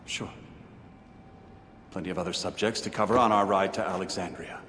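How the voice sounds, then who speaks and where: An actor playing a calm, dry, persuasive leader of secret assassins in a video game scene. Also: male voice, low voice, moderate pace. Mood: probing. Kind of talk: video game cutscene acting. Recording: reverberant, faint ambient noise.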